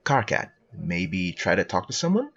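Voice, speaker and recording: A man playing a playful second-person narrator, reading scripted comic narration in a husky voice. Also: low voice, wildly swooping pitch, moderate pace, a bit bright, lo-fi sound, heavily acted. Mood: prodding.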